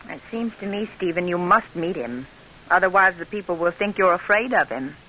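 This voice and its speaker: A sensible, poised wife counseling a husband. The voice is bright and crisp-edged, on a faintly hissy vintage radio drama recording. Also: female voice, fairly low pitch, animated, normal speed, rough recording. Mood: concerned, reasoning. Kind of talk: old-time American radio drama acting.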